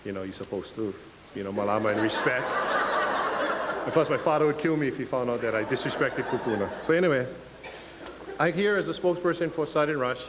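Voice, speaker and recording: A man's voice: medium pitch, animated, quick pace, long pauses. A young man from Hawaii with a Hawaiian Pidgin accent, giving a casual, joking guest talk at a lecture. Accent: Hawaiian Pidgin English. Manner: nervous, joking, self-deprecating. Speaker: guest speaker. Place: lecture hall. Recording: reverberant hall with faint hiss.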